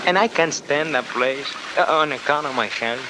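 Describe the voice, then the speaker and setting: An actor playing a sly, ingratiating Mexican fugitive with a Mexican accent in 1940s radio style, speaking wheedlingly. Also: male voice, fairly high pitch, normal speed, close to an everyday voice. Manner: speaks of himself in third person, wheedling. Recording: noisy 1949 radio transcription.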